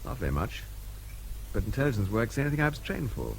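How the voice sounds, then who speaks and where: Low-voiced, war-weary army captain. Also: male voice, very animated, quick pace, vocal fry, low-quality recording. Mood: wry, weary. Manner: dry, self-deprecating, clipped. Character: war-weary, wry British army intelligence captain, frank about fear. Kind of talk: radio drama acting. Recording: radio drama with faint background noise.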